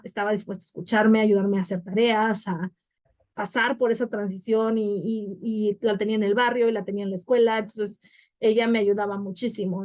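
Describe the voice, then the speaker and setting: A woman's voice: on the high side, a little mellow. A young woman, co-hosting a podcast and reflecting on her past in casual talk, often with "o sea". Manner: reflective, many fillers like "o sea". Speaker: podcast co-host.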